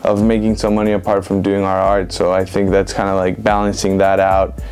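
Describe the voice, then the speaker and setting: A young man in his twenties from Venezuela, giving relaxed, rambling interview answers full of "like" and "um". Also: low-pitched, fairly quick, clean tone, faint music behind. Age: 20s. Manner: relaxed, rambling with many fillers like "like" and "um". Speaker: interviewed street photographer. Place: indoor room with bookshelves.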